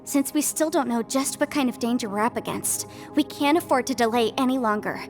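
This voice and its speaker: A woman playing an analytical, polite young investigator in a video game, measured, articulate and thoughtful. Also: a little high, faint music behind, natural voice.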